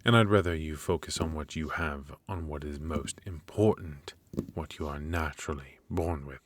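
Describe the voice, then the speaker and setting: A man's voice: extremely low pitch, noisy background. A man voicing a cold, stern royal magic professor. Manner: measured, stern, lecturing. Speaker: voice actor in ASMR roleplay. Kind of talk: ASMR roleplay.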